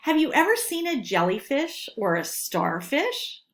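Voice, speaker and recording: A woman teacher reading a lesson aloud to children, speaking deliberately and instructively and stressing key words. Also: sits high, very animated, fairly bright tone. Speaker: elementary school teacher.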